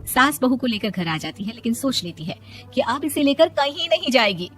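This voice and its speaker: A woman's voice: on the high side, animated, normal speed, a bit bright, faint music behind. A woman narrating a cartoon story in a plain, matter-of-fact way.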